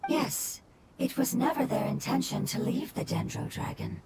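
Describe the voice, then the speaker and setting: A woman voicing a game character who explains things calmly and sorrowfully. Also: high-pitched, normal speed, vocal fry, heavy background noise.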